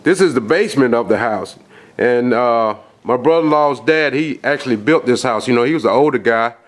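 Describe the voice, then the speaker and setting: A man's voice: mid-range voice, a little fast. Stuffy-nosed man rambling in a basement. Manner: rambling, folksy, lots of fillers and restarts. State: has a cold, nasal. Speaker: outdoors video host. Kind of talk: casual vlog walkthrough. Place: dark basement of a house. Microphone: handheld camera.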